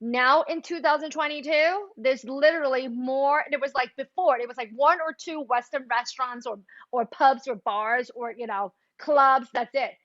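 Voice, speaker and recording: A woman hosting a live interview, chatty and lively, whose first language is Taiwanese, talking over a video call. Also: sits high, moderate pace, hardly any pauses, no room sound. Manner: chatty, lively, code-switching. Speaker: host. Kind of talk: casual interview chat. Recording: muffled lo-fi stream audio.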